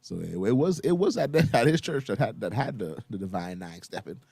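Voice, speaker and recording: A man co-hosting a morning show in casual chat, quick to laugh. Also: fairly low pitch, creaky, breathy edge, no room sound, studio quality. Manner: animated, laughs readily. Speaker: co-host of a morning radio show. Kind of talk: casual chat, reacting to listener comments.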